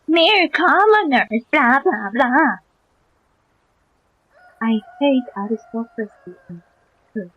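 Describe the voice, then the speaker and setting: A woman's voice: high voice, lively intonation, slow pace, long pauses, a bit bright. A woman streamer giving casual, opinionated and irreverent commentary on a game, close to a slightly dull microphone.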